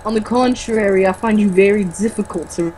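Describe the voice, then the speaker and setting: A woman's voice: medium pitch, fairly quick, a little mellow, close to an everyday voice, low-quality recording. Girl putting on a smug jersey vampire guy, kinda nasal, amused, reading from a book, bit of noise. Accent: put-on New Jersey. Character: smug, amused teen vampire boy with New Jersey accent.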